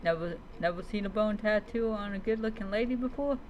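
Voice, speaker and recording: A woman streamer role-playing in character as a dragon rider in a game, speaking haltingly and repeating words. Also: medium pitch, a little mellow, lo-fi sound, a little hiss or hum. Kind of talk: in-character game roleplay storytelling, talking to pet creatures.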